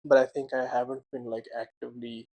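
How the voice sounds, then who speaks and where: A young man co-hosting a casual podcast chat, animated, laughing often and filling his talk with "like" and "right?". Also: mid-range voice, brisk, no room sound, rough recording. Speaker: podcast co-host.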